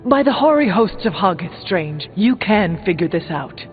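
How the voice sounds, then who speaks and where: An actor playing an earnest warrior hero in a superhero video game, with formal, deliberate speech. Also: male voice, high-pitched, very animated. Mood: earnest, urging. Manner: formal, deliberate, avoids contractions. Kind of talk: video game dialogue acting. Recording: thin narrow band, reverberant, music behind.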